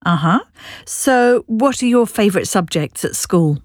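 A woman playing a polite, brisk job interviewer who asks probing questions. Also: medium pitch, expressive, dry recording, studio quality. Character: businesslike woman interviewer, patient. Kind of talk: scripted listening dialogue.

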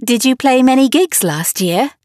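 A woman voicing a cheerful kids' radio host, her fairly high voice swooping lively up and down. She asks upbeat, clearly enunciated questions, like a language-course recording. The sound is dry and close. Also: moderate pace, dry recording. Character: cheerful female host of a children's internet radio show. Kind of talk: scripted textbook dialogue.

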